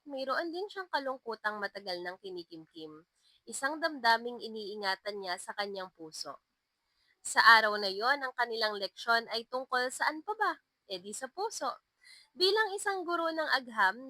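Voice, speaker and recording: A woman narrating a scripted love story as an expressive storyteller. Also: fairly high pitch, no room sound, low-quality recording.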